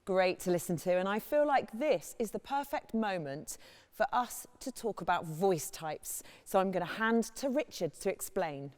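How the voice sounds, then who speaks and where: A woman's voice: medium pitch, lively intonation, moderate pace, a little mellow, long reverb tail. A woman hosting on a theatre stage, speaking to camera and handing over to a colleague. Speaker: host. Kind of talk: presenting to camera.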